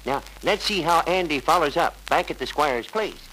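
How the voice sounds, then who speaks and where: Male 1950s training film narrator, high voice, faint soundtrack noise. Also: expressive, normal speed, sharp tone, low-quality recording.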